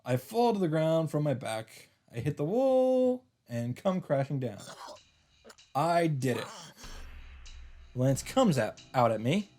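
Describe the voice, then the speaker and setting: A man reading a first-person story aloud in a theatrical, halting way, with dramatic emphasis. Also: fairly high pitch, big pitch swings, dark tone, a little hiss or hum. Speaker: streamer reading a visual novel aloud.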